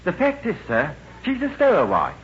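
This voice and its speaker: An actor playing a deferential, officious ship's steward in a 1940s radio comedy, formal and obsequious. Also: male voice, high voice, wildly swooping pitch, moderate pace. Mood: confidential. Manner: formal, obsequious, addresses superiors as sir. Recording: muffled vintage radio recording.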